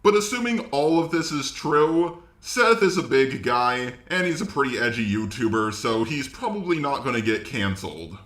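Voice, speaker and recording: A man's voice: mid-range voice, expressive, normal speed, few pauses, a bit bright. A man giving casual opinion commentary in a blunt, informal way. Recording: echoey room.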